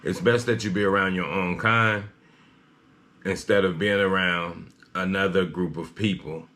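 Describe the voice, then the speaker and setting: Male news commentator, low-pitched, slightly halting read, personal opinion style. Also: fairly even intonation, bright, forceful tone.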